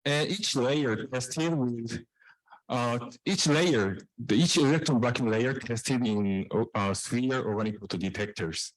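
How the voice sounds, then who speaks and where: A man presents technical research. His voice sits at an average male pitch. He moves haltingly, often restarting and repeating phrases, and the sound is dry with little room in it. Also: no room sound, rough recording. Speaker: researcher presenting own work.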